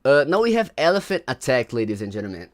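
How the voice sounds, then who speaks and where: Man, young adult, livestream host, animated, very lively pitch. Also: fast. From Brazil. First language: Portuguese. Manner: animated, slangy, chats with viewers. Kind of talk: casual livestream commentary.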